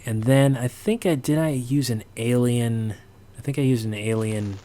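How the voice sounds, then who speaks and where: A man's voice: mid-range voice, normal speed, very noisy. A man presenting a casual sound design walkthrough, hesitant and thinking aloud as he goes. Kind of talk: casual screen-recorded walkthrough.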